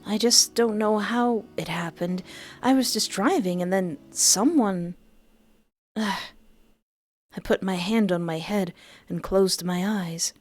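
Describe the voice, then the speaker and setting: A woman's voice: slow, soft background music. A woman reading a visual novel aloud, voicing the narration and the dialogue expressively. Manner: expressive, shifts pitch for each character.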